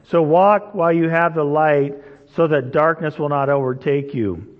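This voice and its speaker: A man preaching a sermon in a relaxed, storytelling way, often checking in with the listeners. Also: a little high, normal speed. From Indonesia. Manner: conversational, storytelling, frequent "right?" checks. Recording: dull sound, long hall reverb.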